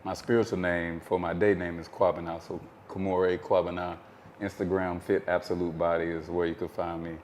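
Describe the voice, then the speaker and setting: An American man as an interview guest, telling his story in a thoughtful, measured way with frequent fillers. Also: sits low, little pitch movement, a little mellow, a little hiss or hum. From United States.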